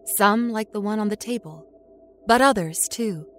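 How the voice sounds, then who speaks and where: A woman acting a haunted, introspective captive with no memory, narrating a horror audio story in a measured, brooding way. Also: mid-range voice, lightly acted. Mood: tense, brooding. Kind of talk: first-person scripted horror audio story. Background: music score under the voice.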